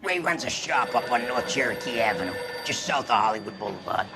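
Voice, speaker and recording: A weary, resigned bookmaker, acted by a man, quiet and flat in his replies. Clear background noise runs beneath his mid-pitched voice. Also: brisk, lightly acted. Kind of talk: game cutscene acting.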